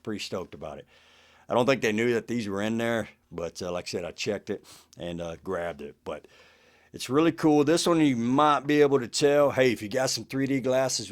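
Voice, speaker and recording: Male host, lowish voice, casual and conversational vlog delivery, easygoing phrasing. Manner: laid-back, conversational, peppered with "man" and "you know". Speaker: host of a cassette collection show. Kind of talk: casual chat to camera.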